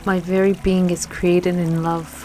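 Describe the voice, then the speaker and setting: A woman leading a scripted guided meditation with affirmations in a gentle, soothing manner, leaving long pauses between phrases. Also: lowish voice, flat delivery, rough recording. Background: music and trickling water.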